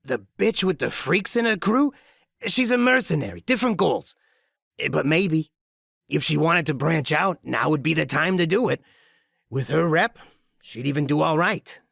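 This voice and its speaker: A man's voice: a little high, animated, moderate pace, gravelly, fairly bright tone, no room sound, clean studio sound, pitched above the performer's own voice, full character voice. Man acting a strained, crude injured thug. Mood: grudging informing. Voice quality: strained, gasping. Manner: crude, grudging. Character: injured skinhead gang thug, crude, cornered, pained. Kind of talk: audiobook character acting.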